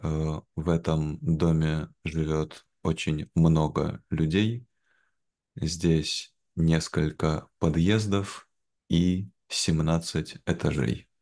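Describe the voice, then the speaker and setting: A young man speaking in a careful, measured way in a simplified conversation for learners, on a video call from home. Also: a bass voice, little pitch movement, darkish tone. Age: young adult. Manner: measured, careful, deliberate phrasing. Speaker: guest, language teacher. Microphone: video call, wearing headphones.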